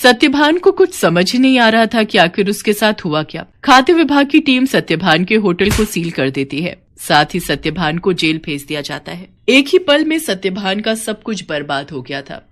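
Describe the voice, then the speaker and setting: Expressive woman storyteller, lively pitch. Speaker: story narrator. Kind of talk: scripted Hindi moral-story narration.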